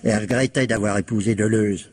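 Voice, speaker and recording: A man's voice: on the low side, steady tone, brisk, a little mellow, breathy edge. An actor playing a world-weary, sardonic policeman in a radio crime drama, talking in a slangy, clipped, colloquial way. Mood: sardonic briefing. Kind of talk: 1950s-style French radio crime drama acting.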